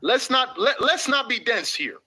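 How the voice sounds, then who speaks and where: High bright-voiced guy reading out an article, sarcastic questions in between. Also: very animated, measured pace, husky. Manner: sarcastic, probing rhetorical questions. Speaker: commentator reading a news article. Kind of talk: reading a statement aloud with commentary.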